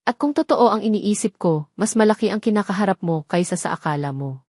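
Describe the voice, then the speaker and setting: A woman voicing an earnest, serious man from a wealthy family in an audio drama, explaining things gravely. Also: mid-range voice, lively intonation, fairly quick, a little mellow, dry recording, clean studio sound, close to an everyday voice. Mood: grave, intent.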